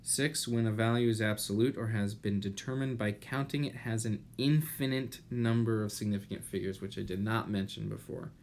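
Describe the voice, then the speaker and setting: A man in his thirties giving an explanatory lecture as an instructor, deliberate and pausing, with rhetorical questions. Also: fairly low pitch. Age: 30s. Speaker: chemistry instructor. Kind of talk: explanatory lecture over slides. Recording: noticeable steady background noise.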